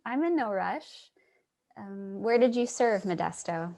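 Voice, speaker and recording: A woman's voice: mid-range voice, expressive, unhurried, creaky, a little mellow. A woman playing an earnest, sympathetic, somewhat naive writer in a staged reading, sincere and a little hesitant. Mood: curious, earnest. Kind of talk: staged reading with first-person narration.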